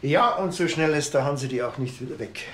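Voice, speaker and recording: A man's voice: mid-range voice, expressive, fairly quick, a little hiss or hum, natural voice. An actor playing a dry, sardonic small-town policeman with a Bavarian accent in a TV crime comedy. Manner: dry, grumbling sarcasm.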